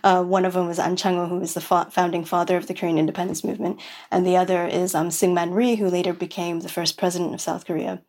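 A woman's voice: fairly low pitch, little pitch movement, fast talker, a little mellow. A woman from New York City, a podcast guest, talking in a rambling casual way with many fillers and restarts. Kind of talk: casual interview chat.

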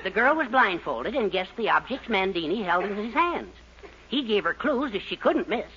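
A muffled, noisy 1940s radio recording of an actress as a bossy woman schemer in a sitcom. Her voice is bright and forceful, and she pushes her points with theatrical emphasis. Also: medium pitch, expressive, normal speed, lightly acted. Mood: scheming, persuasive. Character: bossy, scheming partner with grand showmanship.

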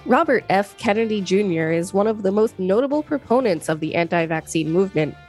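A woman's voice: mid-range voice, expressive, fairly quick, a little mellow, faint music behind. A woman co-hosting a podcast, reading an article aloud and adding casual commentary. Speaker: podcast co-host.

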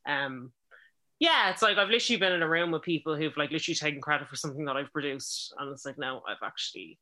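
Woman, twenties, low pitch, bright tone, casual chat, animated quoting. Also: fast. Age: 20s. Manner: chatty, laughs often, quotes people in lively voices. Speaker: musician and producer.

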